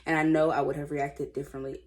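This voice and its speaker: A woman's voice: sits low, flat delivery, quick pace. An American woman casually reading stories aloud with informal, sassy and blunt running commentary.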